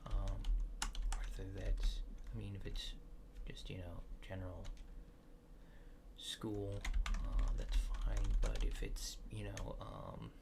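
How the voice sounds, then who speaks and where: A man doing soft-spoken, partly whispered ASMR, playing a laid-back alien abductor asking casual, hesitant survey questions in a deadpan way. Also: low voice, slow pace, noisy background. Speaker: ASMR creator.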